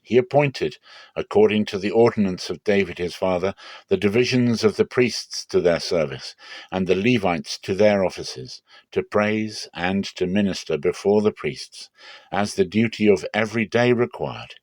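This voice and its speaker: Low-voiced man reading scripture, dry sound. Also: moderate pace, a little mellow, no echo. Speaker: narrator. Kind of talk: dramatic Bible reading.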